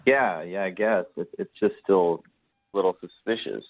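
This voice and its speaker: Tinny phone audio, male caller with a lowish voice, casual and questioning. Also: moderate pace. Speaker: phone-in guest on a radio dating segment. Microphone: phone call. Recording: thin, band-limited phone line.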